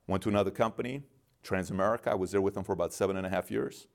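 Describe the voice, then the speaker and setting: A middle-aged man born in Iran, guest in an interview, telling animated stories and acting out the dialogue. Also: deep voice, racing, gravelly. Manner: animated storyteller, acts out dialogue in quotes. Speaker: guest, business founder. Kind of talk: storytelling interview.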